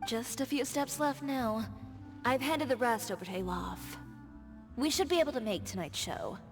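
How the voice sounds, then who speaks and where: A woman voicing a poised, shrewd fashion designer who talks calmly and confidently. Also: on the high side, close to an everyday voice. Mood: composed. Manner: calm, measured, confident. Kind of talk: game dialogue. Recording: game audio with music behind.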